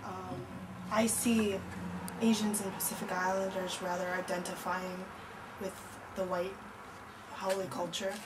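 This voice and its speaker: A woman talks in a thoughtful, earnest way, pausing on fillers as she works out her ideas. Her voice sits at a middle pitch. She is recorded from a distance, and background noise is clearly audible. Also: little pitch movement. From born in Korea, raised in Chicago. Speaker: visitor in conversation. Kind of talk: casual conversation.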